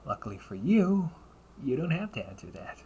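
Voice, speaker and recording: A man playing a composed, shrewd demon hunter who questions in a measured, deliberate, probing way in an audio roleplay. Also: a little high, very animated, slight background noise. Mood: calm, probing. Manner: measured, deliberate, probing, with pauses and hushed phrase endings. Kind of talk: audio roleplay, scripted character monologue addressed to listener.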